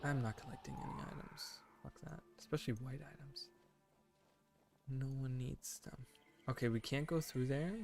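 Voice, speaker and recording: A man's voice: mid-range voice, normal speed, gravelly, a little mellow, soft background music. Rambling young man streaming a game. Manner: rambling, full of "like" and "you know"; thinking aloud, self-correcting. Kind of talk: casual chat while gaming.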